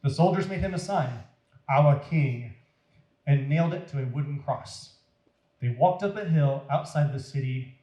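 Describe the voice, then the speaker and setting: A man reading a story aloud to children in a deliberate, dramatic, expressive way. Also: on the high side. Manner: deliberate, dramatic, addressing young listeners. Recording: roomy echo.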